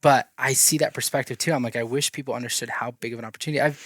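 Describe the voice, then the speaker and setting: Fast-talking upbeat male podcast host. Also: medium pitch, steady tone, fairly bright tone, no echo, studio quality. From Idaho. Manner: upbeat, eager to agree. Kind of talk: casual interview.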